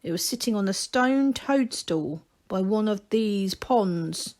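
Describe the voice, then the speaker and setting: A woman's voice: mid-range voice, animated, takes it slowly, a little mellow. A woman voicing a stubborn old male gnome who rambles insistently in rustic dialect, as in a storybook. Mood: insistent, reminiscing.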